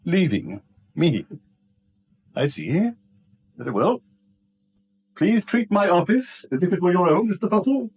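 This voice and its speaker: An actor playing a testy, self-important English acting bank manager in a 1970s radio drama, brusque and huffy. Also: male voice, high-pitched, big pitch swings, a little slow, long pauses, darkish tone, breathy edge, heavily acted. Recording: dull vintage radio recording.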